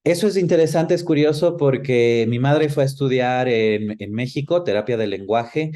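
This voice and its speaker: A man with a dark, mellow voice at a middling pitch. He talks reflectively in an interview, rephrasing and feeling his way toward the right word. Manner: thoughtful, searches for words and rephrases. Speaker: interview guest, actor.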